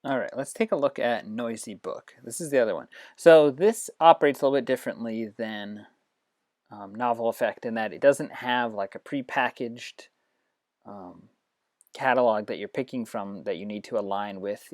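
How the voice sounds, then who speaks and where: Educator, instructional demo, informal and explanatory, medium pitch, dry recording. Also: moderate pace, a little mellow, no echo. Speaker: educator demonstrating reading apps. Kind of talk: instructional screen demo with read-aloud passages.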